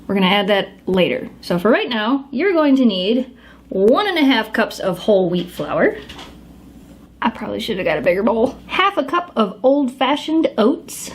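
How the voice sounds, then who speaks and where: Woman, fairly high and animated, casual kitchen host who chats with her dogs mid-recipe. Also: moderate pace, slight background noise. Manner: chatty, talks to her dogs while she works. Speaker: home cook demonstrating a recipe. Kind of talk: casual how-to cooking video.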